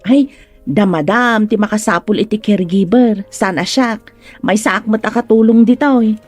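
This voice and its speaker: A woman's voice: mid-range voice, moderate pace, faint music behind, lightly acted. A woman with an Ilocano accent playing a timid, deferential housemaid in a radio drama. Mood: helpful.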